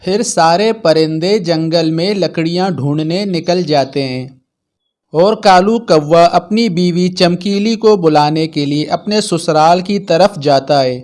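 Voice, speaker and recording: Slow, higher-pitched man narrating a story. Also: darkish tone. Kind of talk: scripted children's story narration.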